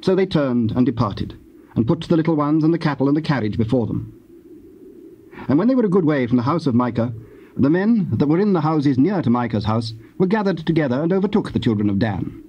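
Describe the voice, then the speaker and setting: A man narrating scripture as a measured, formal scripted reading. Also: a little high, expressive, slight background noise. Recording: dull old cassette audio.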